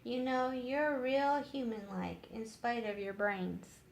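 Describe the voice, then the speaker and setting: A woman's voice: a little high, steady tone, slow pace, creaky. A woman voicing a kindly, fretful old housemaid who talks in a plain, folksy way in a storybook. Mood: grateful, puzzled. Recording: roomy echo with faint noise.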